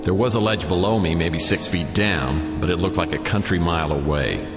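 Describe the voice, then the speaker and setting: An American man playing a down-on-his-luck private detective in a noir radio drama, narrating with deadpan, self-mocking dryness. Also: sits low, moderate pace, big-room sound. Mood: wry, brooding. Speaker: lead character and narrator. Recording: studio radio drama over music. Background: prominent music.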